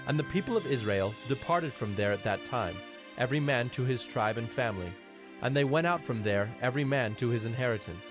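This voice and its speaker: A man's voice: mid-range voice, expressive, brisk. A man giving a scripted narration of a dramatized scripture audio production. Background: background music.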